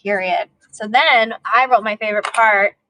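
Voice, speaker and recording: A woman's voice: medium pitch, normal speed, sharp tone, poor audio. A woman, an elementary teacher leading a read-aloud lesson for young children, asking questions and prompting as she goes.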